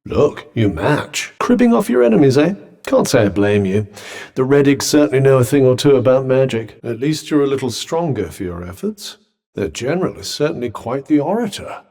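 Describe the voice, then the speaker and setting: A wry sounding magic bracelet. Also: male voice, mid-range voice, big pitch swings, moderate pace, a little mellow. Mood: sardonic. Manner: wry, sarcastic, clipped formal phrasing. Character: sardonic talking magic bracelet, male, dry-witted. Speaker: game character voice actor. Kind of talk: video game voice lines.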